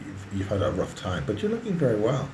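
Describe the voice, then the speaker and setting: A man's voice: fairly low pitch, huge pitch swings, quick pace, a little mellow, echoey room, a little hiss or hum. A man interviewing a guest in a casual, blunt but reassuring way.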